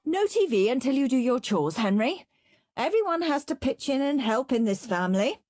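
A woman's voice: a little high, sing-song, moderate pace, dark tone, no echo, voice pushed up. A woman voicing a firm, exasperated mother scolding her children in a children's audiobook. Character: firm, exasperated mother of two young boys.

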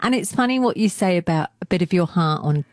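A woman's voice: fairly low pitch, lively intonation, quick pace, darkish tone, dry recording. A middle-aged woman from Cornwall in England, hosting a radio music show and interviewing in a casual, chatty, effusive way.

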